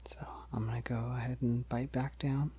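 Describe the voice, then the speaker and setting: A man playing a polite, gentle, shy vampire in an ASMR roleplay, speaking softly and hesitantly to reassure the listener, often near a whisper. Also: medium pitch, little pitch movement, husky. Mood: gentle, reassuring. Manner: hesitant, reassuring, halting with soft chuckles.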